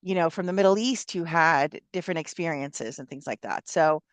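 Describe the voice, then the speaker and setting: A woman's voice: low voice, a little fast, no room sound. A woman from Southern California, an older college instructor, explaining an essay assignment in a chatty, encouraging way with lots of fillers. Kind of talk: casual classroom explanation.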